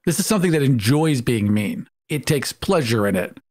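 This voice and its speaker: Very animated male podcast host. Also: medium pitch, normal speed. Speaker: podcast host, writer and producer. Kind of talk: podcast hosting, scripted intros and chat.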